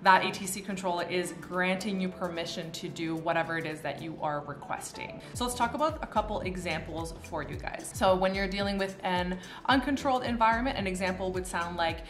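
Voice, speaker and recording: A woman's voice: lowish voice, fairly quick, hardly any pauses. A woman in her thirties with a Canadian accent, explaining things to camera in a chatty, informal teaching style. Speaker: pilot and video host. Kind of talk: casual educational explainer to camera. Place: small furnished room indoors. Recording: roomy, echoey indoor sound.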